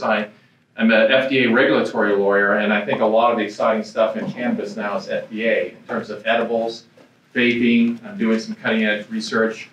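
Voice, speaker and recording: Booming man with a handheld mic. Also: a little hiss or hum. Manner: energetic, gestures while speaking. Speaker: lawyer hosting a panel evening. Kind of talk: welcome remarks at a panel event. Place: conference room with audience. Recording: echoey room, mic picked up from far.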